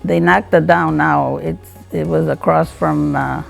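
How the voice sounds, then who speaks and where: A woman in her eighties from Iowa, answering questions in a family interview, halting and searching for her words. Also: sits low, fairly even intonation, gravelly, faint music behind. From Iowa, United States. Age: 80s. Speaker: interviewee, grandmother.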